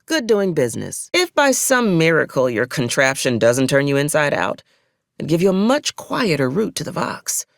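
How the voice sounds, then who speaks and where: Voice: mid-range voice, sing-song, normal speed, creaky, no echo, studio quality. An actor playing a stern, pragmatic military officer in a video game, wry and coolly menacing, with clipped, formal frontier phrasing. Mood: dry, wry. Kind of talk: scripted video game dialogue.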